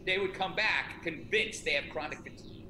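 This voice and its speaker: A man's voice: a little high, fairly quick. A middle-aged man, an author talking about his self-help book in a casual, rambling, self-deprecating way with many restarts. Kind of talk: casual conversation. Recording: echoey room with noticeable noise.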